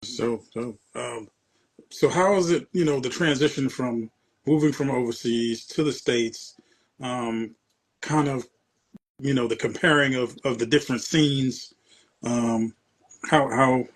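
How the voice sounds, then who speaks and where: A man hosting a casual interview, putting his questions in a halting way and rephrasing as he goes. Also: medium pitch, slightly rough, rough recording. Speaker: interview host.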